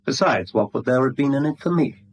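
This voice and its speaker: An actor playing a smug middle-aged blackmailer in a 1970s radio drama, suave, wordy and mockingly courteous. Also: male voice, medium pitch, lively intonation, fairly quick, lo-fi sound, natural voice. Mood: silkily threatening. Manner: suave, wordy, mock-polite, teasing.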